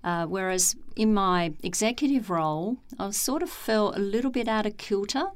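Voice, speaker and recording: A middle-aged woman, a former executive turned meditation teacher, speaking in a calm, measured and thoughtful way with frequent pauses. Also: mid-range voice. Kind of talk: reflective interview talk.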